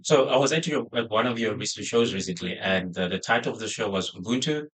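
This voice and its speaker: A man hosting a podcast interview, asking his questions haltingly with fillers. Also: on the low side, expressive, a bit bright, breathy edge, poor audio. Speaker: podcast host.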